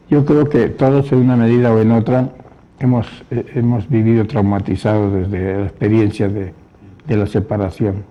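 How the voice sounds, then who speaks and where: A man, giving reflective, halting personal testimony. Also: lowish voice, warm tone. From born in Spain, grew up in Mexico. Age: 70s. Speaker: interviewee. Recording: dull lo-fi sound, faint music.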